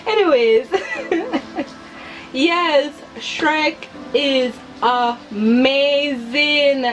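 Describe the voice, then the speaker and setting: Hyper, slow-paced young woman vlogger. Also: high voice, lively intonation, fairly bright tone, soft background music, low-quality recording. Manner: hyper, giggly, emphatic repetition of words. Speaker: video channel host. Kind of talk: chatty personal commentary to viewers.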